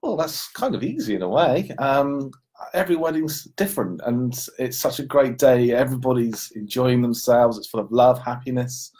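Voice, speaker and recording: A man's voice: medium pitch, expressive, normal speed, a little mellow, slightly rough. A man co-hosting a casual, unscripted question-and-answer chat as a wedding photographer.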